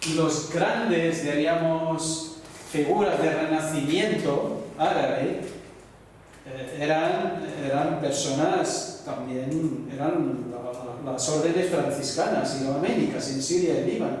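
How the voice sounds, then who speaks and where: A man's voice: on the high side, takes it slowly, darkish tone, recorded from a distance, slight background noise. Lecturing man heard from afar in echoey hall. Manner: explanatory, frequent "¿no?" tag questions. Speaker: university lecturer. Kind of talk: classroom discussion lecture. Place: large echoey classroom.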